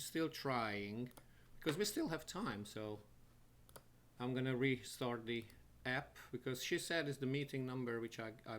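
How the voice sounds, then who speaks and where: A man hosting a live show from his home studio, talking haltingly and restarting as he sorts out his setup. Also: medium pitch, fairly bright tone, a little hiss or hum. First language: Hungarian. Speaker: host of a live online show. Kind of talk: casual live-stream talk to viewers.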